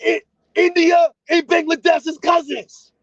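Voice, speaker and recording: Blunt New man, high voice. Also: level tone, unhurried, no room sound. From United States. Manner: blunt, teasing, talks straight to viewers. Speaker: livestream host. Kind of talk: casual livestream chat. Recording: dull, lo-fi sound.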